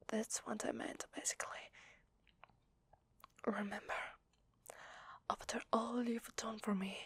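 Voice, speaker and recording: A woman with an Italian accent voicing a shy, needy, grateful vampire lady in hesitant, breathy whispered ASMR roleplay, close to the microphone. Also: mid-range voice, no room sound. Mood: shy, hopeful. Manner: hesitant, soft, halting. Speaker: ASMR voice actor. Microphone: close microphone, dry.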